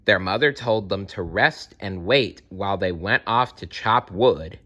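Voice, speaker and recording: A man narrating a children's fairytale aloud, expressive and speaking directly to young listeners. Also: lowish voice, measured pace. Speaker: storyteller.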